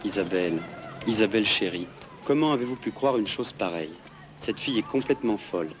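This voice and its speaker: Fast, animated, earnest male schoolteacher, played by an actor. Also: on the low side, slight background noise, natural voice. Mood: pleading. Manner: formal, imploring. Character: earnest, pleading schoolteacher in love, flustered gentleman. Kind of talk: comic drama dialogue.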